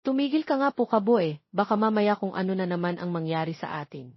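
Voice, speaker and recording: A husky, irritable young woman acted by a female narrator scolds in curt, exasperated phrases, as in an audio romance story. The sound is dry and a bit dull. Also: mid-range voice, quick pace, no room sound, natural voice. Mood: grumpy. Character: irritable young pregnant woman, stubborn and snappy.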